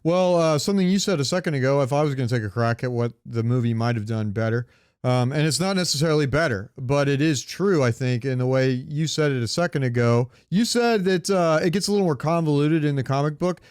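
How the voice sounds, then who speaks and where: A man's voice: medium pitch, fairly quick, a little mellow, clear, resonant tone, no echo, clean studio sound. A man chatting casually as a podcast co-host in a rambling way, swearing now and then and asking questions. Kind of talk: casual chat about comics and movies.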